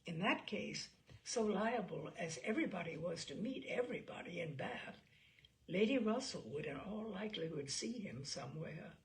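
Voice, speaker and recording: A woman reading a classic novel aloud as an audiobook, in a measured, expressive storytelling manner with a creaky voice. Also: on the low side. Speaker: audiobook reader. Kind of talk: literary narration of a classic novel, voicing dialogue.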